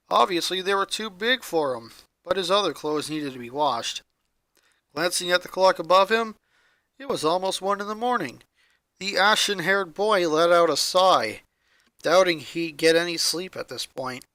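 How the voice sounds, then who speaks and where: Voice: mid-range voice, sharp tone, no room sound. A person reading a fan fiction story aloud as scripted narration. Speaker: story reader.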